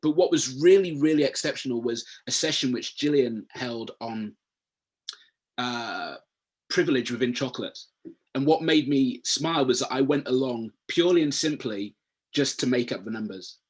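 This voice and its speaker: A man hosts a webinar panel over a video call. His voice is husky and sits at an ordinary pitch. He talks in a friendly, rambling way and keeps restarting his sentences. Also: animated, normal speed. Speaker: webinar moderator. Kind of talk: casual panel chat.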